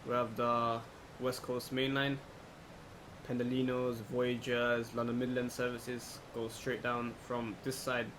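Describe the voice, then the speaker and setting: A man giving casual, low-key let's play commentary, thinking aloud as he goes. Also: a little slow. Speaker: gaming video host. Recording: clear background noise, steady hum.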